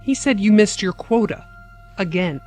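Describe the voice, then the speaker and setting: A woman's voice: medium pitch, expressive, normal speed, darkish tone, soft background music, natural voice. An actress playing a stern, coldly resolute wife and mother in an audio drama, firm and commanding. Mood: tense.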